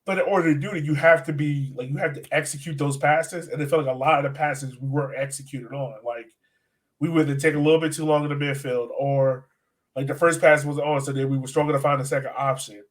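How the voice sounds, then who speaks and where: Man, slightly high voice, casual soccer podcast co-host, animated storyteller, conversational match analysis. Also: fast talker.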